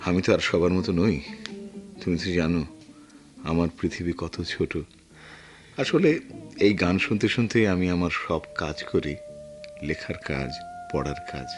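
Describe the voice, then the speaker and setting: Deep-voiced brooding war veteran. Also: male voice, wildly swooping pitch, soft background music. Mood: warm, earnest. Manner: earnest, halting, reflective. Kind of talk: Bengali radio drama acting.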